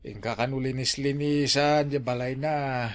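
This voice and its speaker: A man's voice: medium pitch, steady tone, a little slow, lightly acted. A man playing an easygoing older father, hesitant and conversational, in an Ilocano radio drama. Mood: coaxing.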